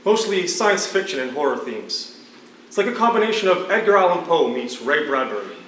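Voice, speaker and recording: An actor playing a matter-of-fact, wryly sympathetic hospital doctor in naturalistic stage dialogue. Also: male voice, on the high side, sing-song, fairly quick, a bit bright. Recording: echoey distant stage recording, some noise.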